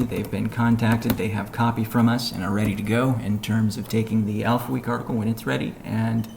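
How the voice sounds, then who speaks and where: Male, low creaky voice, measured business delivery, conference call update, pauses between phrases, faint background noise. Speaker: company executive giving an update and answering questions. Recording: noisy conference call audio.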